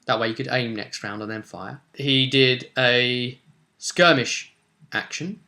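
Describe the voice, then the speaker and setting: A man's voice: mid-range voice, measured pace, sharp tone. A man, casually recounting a tabletop roleplay session as game master in a rambling, colloquial way.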